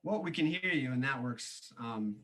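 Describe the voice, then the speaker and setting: A man's voice: medium pitch, expressive, moderate pace, a bit bright, low-quality recording. A man speaking as the moderator of a sports media conference call.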